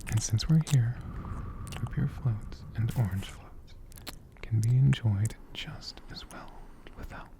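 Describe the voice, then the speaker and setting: A man hosts soft-spoken ASMR in a hushed whisper. His delivery is gentle and very slow, never rushed. A crackly, creaky texture runs through his medium-pitched voice. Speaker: ASMR video host.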